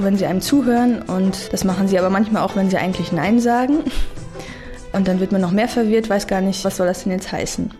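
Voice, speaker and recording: A woman tells children about gestures she has seen on her travels. Her manner is plain and chatty, and her voice sits in a medium register. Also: mid-range voice. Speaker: traveler explaining customs.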